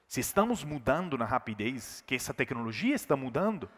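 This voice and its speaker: A man's voice: medium pitch, very animated, fairly quick, fairly bright tone. A man with an Italian accent, whose first language is Italian, giving an emphatic business keynote with rhetorical questions. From Italy. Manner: emphatic, rising and falling delivery with rhetorical questions. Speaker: keynote speaker. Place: large conference hall. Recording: reverberant hall.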